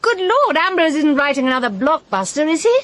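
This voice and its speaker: An actress playing a sharp, scheming, outspoken British woman with a brisk sardonic manner in a radio drama. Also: high voice, sing-song. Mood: brisk, nosy. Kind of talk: full-cast radio drama acting.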